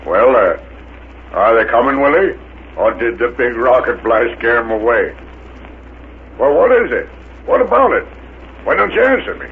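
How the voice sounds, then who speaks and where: An actor playing a bumbling, boastful, uneducated spaceship mechanic with folksy grammar and flustered stammering, in 1930s radio serial style. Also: male voice, mid-range voice, big pitch swings, moderate pace, creaky, long pauses. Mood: folksy, unsure, then startled, flustered. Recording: scratchy, tinny 1930s radio recording.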